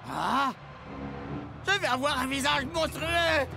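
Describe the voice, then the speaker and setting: A man's voice: extremely high pitch, slow pace, vocal fry, heavily acted. An actor dubbing a vain male football star in a French cartoon, fussing over his looks. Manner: vain, fussy, self-admiring.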